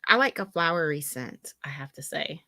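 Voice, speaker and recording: A woman, co-hosting a casual live chat in an animated, chatty way. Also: low-pitched, moderate pace, vocal fry, dry recording. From West Texas. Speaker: co-host.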